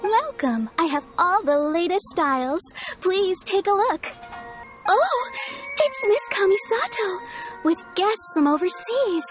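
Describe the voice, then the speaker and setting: Very high-voiced, polite shopkeeper. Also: female voice, expressive, takes it slowly, music in the background, heavily acted. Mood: welcoming. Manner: deferential, customer-service politeness. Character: courteous, eager-to-please female kimono shopkeeper. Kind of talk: video game voice acting.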